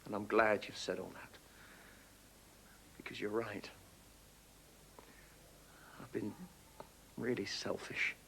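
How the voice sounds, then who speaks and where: An actor playing a gruff widowed father who turns halting and apologetic toward his daughter. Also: a little high, very animated, a bit bright, slight background noise, full character voice, rough recording. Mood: remorseful. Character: grumpy grieving widowed father.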